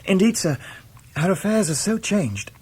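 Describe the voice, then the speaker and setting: An actor playing an earnest, polite, lovestruck young English gentleman in a period radio drama. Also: sits high, big pitch swings, normal speed, breathy edge, full character voice, poor audio. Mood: polite, anxious.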